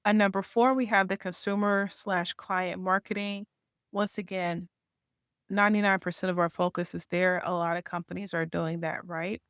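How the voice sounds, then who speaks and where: A woman's voice: fairly low pitch, fairly even intonation, moderate pace, a bit bright, smooth, dry recording, clean studio sound. A woman guest on a podcast interview, explaining her points step by step and checking in with "right?". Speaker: podcast guest, marketing association founder. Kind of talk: conversational interview.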